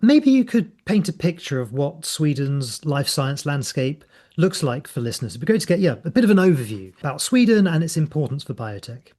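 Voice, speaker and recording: A man hosting a podcast, reading a measured, scripted introduction and asking his guest questions. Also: on the high side, sing-song, darkish tone. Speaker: podcast host. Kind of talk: podcast interviewing.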